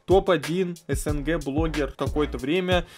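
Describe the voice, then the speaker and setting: A man's voice: moderate pace, darkish tone, faint music behind. A young man giving profane, mocking commentary as a video host, recorded at a desk mic. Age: young adult. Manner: mocking, swears freely, drops into low asides. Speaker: YouTube commentator. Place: dim room at a desk. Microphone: studio mic on desk.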